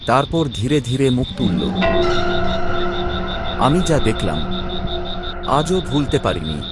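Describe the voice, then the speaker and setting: Man, horror storyteller, lively pitch, hushed dramatic delivery, music underneath. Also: takes it slowly. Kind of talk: first-person horror narration. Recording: spooky music bed under narration.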